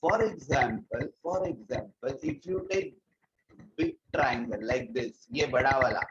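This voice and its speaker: A man's voice: mid-range voice, lively intonation, moderate pace, slightly rough, dry recording, highs cut off. A man with an Indian English accent teaching a geometry lesson in an online class, repeating key points and mixing in Hindi phrases. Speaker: math teacher. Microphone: earphones mic on a video call.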